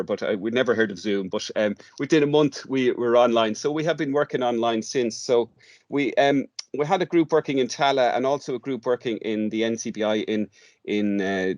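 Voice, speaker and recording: Irish guy getting interviewed on a podcast, lowish voice, hesitant and stop-start, very dry sound. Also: a little mellow, dry recording. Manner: hesitant, halting delivery with repeated words and long searching pauses. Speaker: interview guest, radio drama workshop director.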